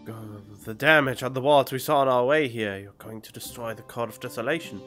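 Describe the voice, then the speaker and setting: A male game streamer with a medium-pitched voice thinks aloud in casual, halting commentary. He is close to the microphone, with faint plucked guitar music in the background. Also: fairly bright tone. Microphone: close studio condenser microphone.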